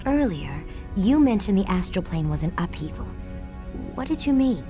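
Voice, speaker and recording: An actress playing an earnest, inquisitive young superhero recruit in a video game, full of questions. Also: female voice, medium pitch, sing-song, moderate pace, close to an everyday voice. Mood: curious, worried. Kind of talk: video game cutscene acting. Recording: music clearly behind the voice.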